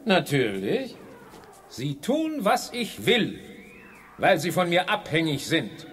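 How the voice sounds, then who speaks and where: Wildly swooping, high-voiced mad genius villain. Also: male voice, huge pitch swings, slow, a bit bright, slight background noise, full character voice. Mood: gloating, menacing. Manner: mock-courteous, theatrical, gloating. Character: gloating, mad male genius villain with a pistol. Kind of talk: 1980s German children's sci-fi radio drama acting.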